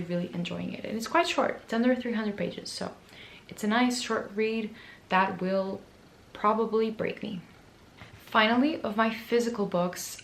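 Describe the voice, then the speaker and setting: Woman book vlogger, hoarse and gravelly today, chatty and self-mocking, echoey room. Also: mid-range voice, slight background noise. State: hoarse, raspy voice from screaming. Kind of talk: casual book chat to camera.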